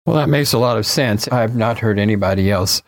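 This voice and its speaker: A man's voice: on the low side, a little fast, slight background noise. A man hosting an interview, reading a formal introduction and asking the guest questions. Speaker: podcast host.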